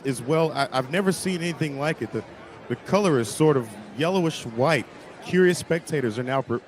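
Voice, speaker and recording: A man's voice: a little high, slight background noise, close to an everyday voice. An actor playing a flustered male radio reporter on the scene, stammering and repeating words in an old-time radio drama.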